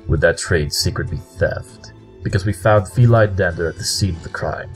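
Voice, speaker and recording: An actor playing a brilliant, formal pony detective who speaks precisely and matter-of-factly in an audio drama. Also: male voice, deep voice, normal speed, soft background music, lightly acted. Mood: formal, confronting.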